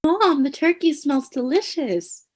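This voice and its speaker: A woman's voice: high-pitched, animated, a little slow. A woman playing a wholesome, eager daughter in a comedic radio play, sweet and gushing.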